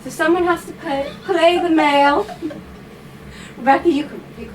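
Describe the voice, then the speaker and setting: A woman's voice: high voice, moderate pace. A woman reading a passage aloud at a live salon and playfully directing two volunteers, laughing and coaxing as she goes. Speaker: reader at a writers' salon. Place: live event room with audience. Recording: noisy live room recording.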